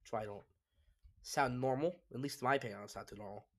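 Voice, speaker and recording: A man's voice: medium pitch, fast, a bit bright. A man hosting a solo sports talk show, congested and sniffly, giving emphatic opinions with frequent restarts. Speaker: sports podcast host.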